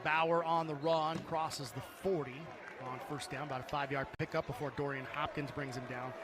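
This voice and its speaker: Higher-pitched male football play-by-play announcer. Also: fairly even intonation, moderate pace, a crowd in the background. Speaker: sports play-by-play commentator. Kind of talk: live football commentary.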